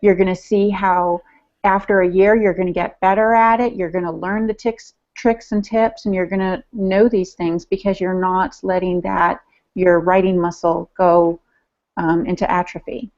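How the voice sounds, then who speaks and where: A woman's voice: lowish voice, fairly even intonation, moderate pace, a little mellow. A woman co-hosting a podcast over an online call, telling stories in a casual, conversational way. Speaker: podcast co-host. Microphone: online video call. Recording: dull, lo-fi internet call audio.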